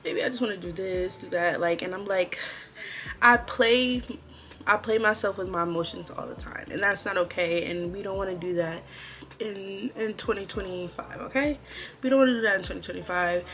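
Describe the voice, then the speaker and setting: A woman's voice: mid-range voice, normal speed, fairly bright tone. A woman in her twenties talking casually and chattily to her viewers, like an informal chit-chat vlog with girlfriends. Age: 20s. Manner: chatty, informal, girl-talk address to viewers. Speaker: vlogger.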